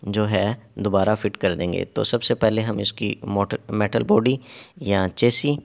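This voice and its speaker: A man narrating a hands-on repair tutorial step by step, halting and repeating himself as he works. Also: lowish voice, vocal fry. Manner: halting and repetitive, pausing while working. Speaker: repair technician giving a tutorial.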